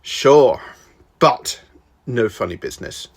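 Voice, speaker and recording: A man voicing a wary, intense team member in a dramatic audiobook, speaking in a halting, suspicious way. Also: medium pitch, expressive, breathy edge. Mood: snappish. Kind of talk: dramatic audiobook character acting.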